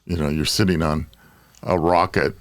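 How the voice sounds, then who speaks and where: A man's voice: extremely low pitch, little pitch movement, normal speed, no echo, studio quality. An older man with an American accent, hosting a casual podcast panel chat. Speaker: podcast host.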